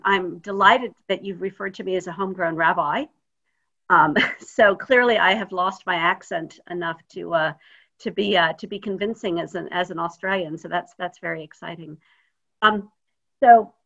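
A woman's voice: mid-range voice, sharp tone, highs cut off. A woman rabbi giving a thoughtful, informal teaching talk over a video call. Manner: thoughtful, searching for words. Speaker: rabbi, guest speaker.

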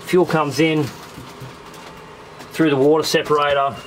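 A man's voice: on the high side, level tone, a little slow, a little hiss or hum. A man in his thirties with an Australian accent, talking casually and in a rambling, laid-back way as a sailing vlogger. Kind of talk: casual explanatory vlog talk. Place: aboard a small sailboat, in the cabin and on deck.

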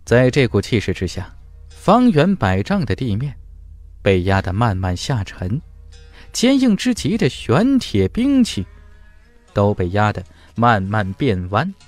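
A man's voice: on the low side, wildly swooping pitch, measured pace, no room sound. A man narrating a fantasy audiobook in a dramatic scripted storytelling style. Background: faint music.

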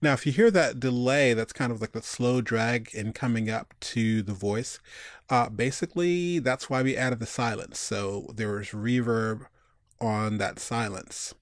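A man's voice: mid-range voice, a little slow, dry recording, clean studio sound. A man giving a casual screencast tutorial, informally talking through each step and thinking aloud. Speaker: tutorial instructor.

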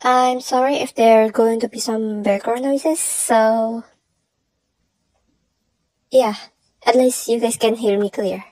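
Female announcer for a channel update: higher-pitched, unhurried, halting, altered voice, dry sound. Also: steady tone, a little mellow, dry recording, rough recording. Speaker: channel creator announcing audition winners. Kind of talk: semi-scripted announcement, reading prepared notes.

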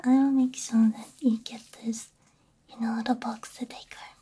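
A woman whispering softly in an ASMR roleplay, gentle and reassuring, speaking close into a binaural microphone. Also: fairly high pitch, little pitch movement, moderate pace. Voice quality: breathy, mostly whispered. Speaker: ASMR roleplay creator playing a robot repair technician.

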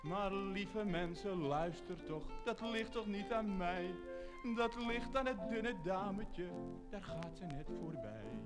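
Children's musical story, humble street peel collector, folksy, hesitant, animated intonation, loud music behind. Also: male voice, high voice, takes it slowly. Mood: resigned. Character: plain, modest street peel collector with horse cart. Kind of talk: children's musical radio-play acting.

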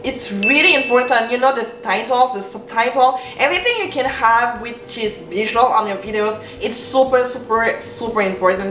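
A young woman whose first language is French speaks English with a French accent, upbeat and encouraging, and she leans on repetition for emphasis. Her voice sits on the high side. It is recorded from some distance, in a room that adds a noticeable echo. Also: fairly bright tone. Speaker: YouTuber. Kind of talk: talking-head advice vlog. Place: bedroom with bookshelves, indoors. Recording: roomy sound, mic at a distance.

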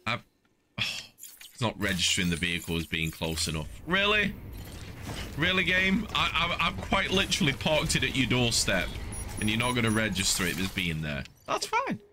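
A man's voice: mid-range voice, wildly swooping pitch, moderate pace, background noise. A middle-aged man giving casual, playful, mock-indignant commentary as he livestreams a video game. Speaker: livestreaming gamer. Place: small indoor streaming setup, wearing headset. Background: game music and vehicle sounds.

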